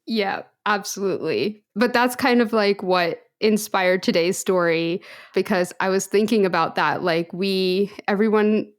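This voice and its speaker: A woman's voice: medium pitch, measured pace. A woman co-hosting a podcast in casual chat, rambling and laughing often. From United States. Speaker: podcast co-host.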